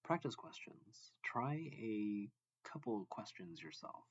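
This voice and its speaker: Very slow-reading man, dull dry recording. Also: fairly low pitch, big pitch swings, husky, no room sound, whispered. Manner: deliberate, step-by-step, phrase-by-phrase delivery. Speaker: math teacher reading a textbook aloud. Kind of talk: slow read-along lesson narration.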